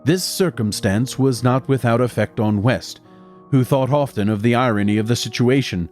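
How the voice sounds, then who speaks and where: A man playing a mild young doctor who narrates and speaks in an audio drama, measured and formal. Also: mid-range voice, darkish tone, faint music behind, natural voice. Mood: somber narration. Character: mild, conciliatory young male doctor, loyal colleague and narrator. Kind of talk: literary first-person narration and dialogue in audio drama.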